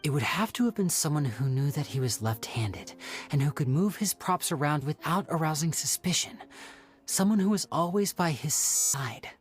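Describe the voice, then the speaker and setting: A woman voicing a clever, calmly confident young magician detective in a video game, measured and deliberate. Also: low-pitched. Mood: pointed, confident. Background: faint background music.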